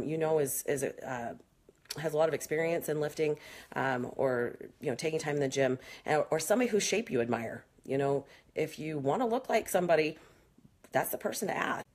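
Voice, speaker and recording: Low-voiced woman trainer, frank and chatty. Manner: chatty, frank, many fillers. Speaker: fitness trainer. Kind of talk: casual live Q&A. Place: small indoor room. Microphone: phone camera at arm's length.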